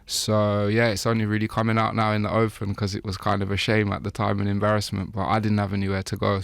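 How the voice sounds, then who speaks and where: Gravelly low-voiced man, laid-back talk. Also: no echo. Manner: relaxed, rambling, laughs often. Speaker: interview guest, chef. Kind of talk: casual interview talk.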